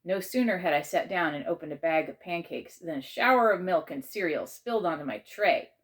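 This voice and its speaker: Woman, low pitch, children's story narration, measured, expressive read-aloud. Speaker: author reading aloud.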